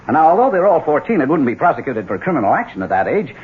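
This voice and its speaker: A man's voice: fairly high pitch, very animated, racing, exaggerated voice. A man playing an awkward, apologetic school gym teacher in a 1970s radio drama, hesitant and nervously formal. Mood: earnest, formal. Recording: muffled, husky-sounding old radio recording.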